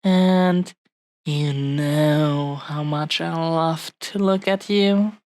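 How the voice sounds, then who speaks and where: Woman acting a very slow, tender dragon-blooded girlfriend. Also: deep voice, steady tone, darkish tone, dry recording, studio quality. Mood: adoring. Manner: tender, halting, lingering over short phrases. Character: affectionate, possessive young dragon-blooded woman, engaged and besotted. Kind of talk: intimate romantic audio roleplay addressed to the listener.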